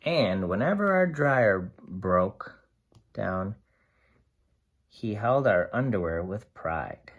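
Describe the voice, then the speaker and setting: A storyteller reading a picture book aloud to young children in a deliberate, expressive way, pausing to explain simply. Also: low-pitched, phone-quality sound. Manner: slow, deliberate, explains and asks simple questions.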